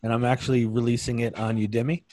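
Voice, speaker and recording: A man's voice: medium pitch, little pitch movement, fast talker, warm tone. A man from New York City co-hosting a casual chat, plain-spoken, who often trails off with a short "so.".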